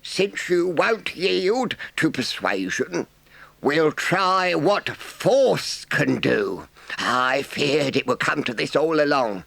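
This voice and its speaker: Storybook badger: grave old speechmaker, pompous and firm, raised pitch, very deliberate pacing. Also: male voice, animated, vocal fry, fairly bright tone, no echo, full character voice. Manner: pompous, slow, emphatic speechifying. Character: solemn, kindly but stern elderly male badger, oratorical.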